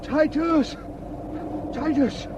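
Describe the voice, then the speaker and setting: An actor playing a prim, protective village rector with a genteel, fussy manner in a radio drama. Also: male voice, extremely high pitch, extremely slow, highs cut off, very noisy, heavily acted. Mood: weary, urgent.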